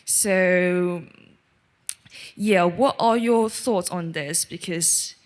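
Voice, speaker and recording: A woman's voice: on the low side, very slow. A woman from Hong Kong asking a spontaneous question from the audience, hesitant and often rephrasing herself.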